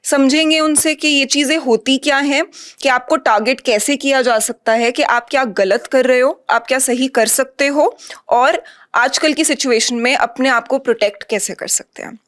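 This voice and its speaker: A woman hosts an interview in a somewhat high voice. She speaks conversationally, slipping English words into her introductions and questions. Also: moderate pace, a bit bright. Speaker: interview host.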